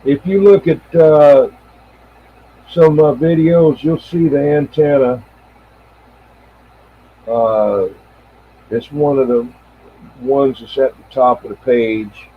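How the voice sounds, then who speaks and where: An American man in his seventies chatting casually on CB radio in a halting, rambling, reminiscing way. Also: mid-range voice, fairly even intonation, breathy edge. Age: 70s. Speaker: CB radio operator and live streamer. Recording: tinny band-limited radio audio with faint noise.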